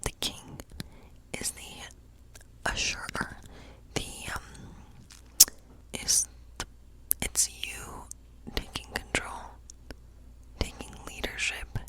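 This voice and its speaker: A woman whispering an ASMR tarot reading as a gentle witch, musing aloud in an unhurried way. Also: poor audio. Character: gentle fortune-telling witch.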